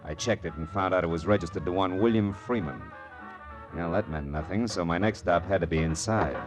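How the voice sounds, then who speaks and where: An actor playing a curt, sardonic private detective in a 1940s hardboiled radio drama, with a clipped, commanding, wisecracking delivery. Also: male voice, sits low, brisk, music in the background, natural voice. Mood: wry, narrating. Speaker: detective and narrator.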